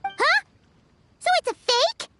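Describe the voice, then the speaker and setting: A woman voicing a tiny, excitable, cheeky fairy companion with a childlike, blunt way of talking in a video game. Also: very high-pitched, slow, background noise, exaggerated voice. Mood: surprised, curious.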